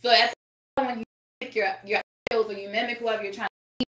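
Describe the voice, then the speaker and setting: A woman's voice: a little high, fairly even intonation, rapid-fire, fairly bright tone. A woman singer talking casually as a podcast guest, rambling and swearing, with many restarts. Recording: echoey room.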